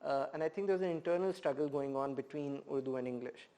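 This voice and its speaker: A man from India, a poet and professor, speaking as an interview guest in a thoughtful, measured way, often pausing with "uh" as he gathers his thoughts. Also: fairly high pitch, mellow. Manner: thoughtful, measured, many "uh" pauses. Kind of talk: reflective conversational interview.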